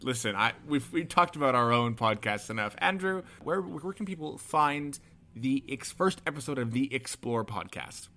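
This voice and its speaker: Young male co-host, average pitch, casual banter, slightly halting delivery. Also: expressive, bright, forceful tone, a little hiss or hum. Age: young adult. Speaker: podcast co-host. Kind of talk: casual chat, interviewing.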